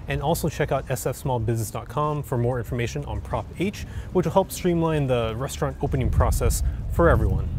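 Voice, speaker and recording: A young man in his twenties talks straight to camera on a city street, upbeat and conversational, with a mid-range voice. A clear music bed plays steadily under his words. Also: medium pitch. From San Francisco. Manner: upbeat, conversational, direct address. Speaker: video host. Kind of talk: scripted explainer talk to camera.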